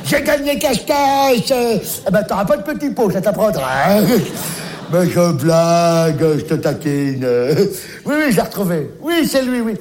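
A man playing a scatterbrained, high-voiced grandpa. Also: animated, unhurried, darkish tone, slight background noise. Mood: bumbling. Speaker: comic sketch character. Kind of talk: comic one-sided phone call sketch.

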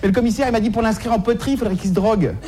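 A man's voice: sits high, rapid-fire, warm tone, a little hiss or hum. A man doing a stand-up comedy monologue in slangy, streetwise, mock-naive style, repeating phrases as he goes, on a live stage recording.

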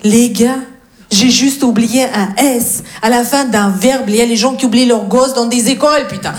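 A woman's voice: on the high side, normal speed, crowd noise. A woman with a Russian accent, a native Russian speaker, performing a cheeky, teasing stand-up monologue with some swearing to a live audience. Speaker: stand-up comedian. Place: live comedy stage before an audience.

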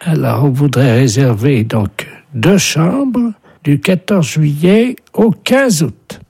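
An actor playing a befuddled elderly husband on a prank phone call, husky, politely insistent and stubbornly mishearing everything. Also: mid-range voice, animated, takes it slowly, a little mellow, exaggerated voice. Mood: obtuse, cheerful. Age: older.

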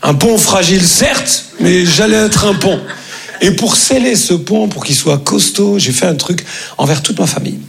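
A man delivers stand-up stories to an audience. His voice sits fairly high for a man and is heavily laced with gravelly creak. He is animated and acts out each exchange as he goes. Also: moderate pace, soft background music. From France. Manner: animated anecdotes with acted-out dialogue. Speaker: stand-up comedian. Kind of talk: stand-up comedy storytelling to an audience.

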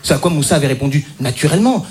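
A man from the French suburbs doing stand-up, his pitch leaping up and down as he acts out every character. He stalls on fillers before landing each line. Noise sits clearly under the recording. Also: racing. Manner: animated storytelling with mock voices and hesitant fillers. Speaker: stand-up comedian. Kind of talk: stand-up routine.

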